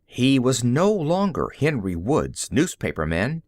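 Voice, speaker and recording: A man's voice: medium pitch, wildly swooping pitch, a little slow, darkish tone, dry recording, clean studio sound. A man reading classic fiction aloud as an audiobook narrator, in a theatrical, emphatic, dramatic style.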